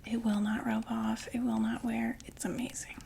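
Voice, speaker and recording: A woman whispering a gentle, soothing ASMR roleplay as a friendly makeup saleswoman, often repeating words softly. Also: fairly high pitch, level tone, normal speed, creaky, slight background noise. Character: friendly female vampire door-to-door makeup saleswoman.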